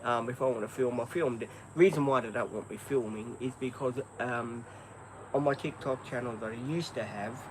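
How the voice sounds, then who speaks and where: An Australian man in his fifties talks casually on a live stream, rambling and hesitant, filling gaps with ums. He is outdoors, with crickets and insects chirping clearly behind him. His pitch sits in the ordinary middle. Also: normal speed, noisy background. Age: 50s. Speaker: livestreamer.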